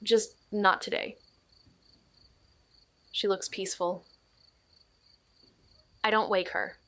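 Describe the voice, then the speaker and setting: Actress as a quiet young woman detective narrating at dawn, soft and hushed, mid-range voice, dry close sound. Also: medium pitch, fairly even intonation, slow, no echo. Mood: hushed reflective. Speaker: first-person narrator. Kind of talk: audio drama narration.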